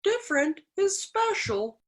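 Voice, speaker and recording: A woman voicing a gentle, wise turtle with a husky voice and drawn-out words in a children's book reading. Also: extremely high pitch, sing-song, heavily acted. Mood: gentle, reassuring. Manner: slow, drawn-out.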